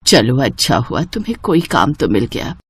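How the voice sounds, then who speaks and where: Lady doing sick gentle mom in a cartoon, soft quiet low voice, motherly. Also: sing-song, moderate pace, breathy edge, no echo, full character voice. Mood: weary, gentle. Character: ailing, gentle, supportive middle-aged mother.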